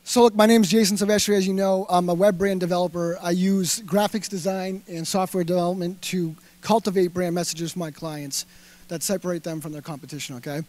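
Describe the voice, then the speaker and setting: A man with a Boston accent giving a lively stage talk, firing questions at the audience with plenty of fillers. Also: high-pitched, brisk, no room sound. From Massachusetts. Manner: punchy, rhetorical questions to the crowd, filler words. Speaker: speaker at a live talk event. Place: indoor event hall.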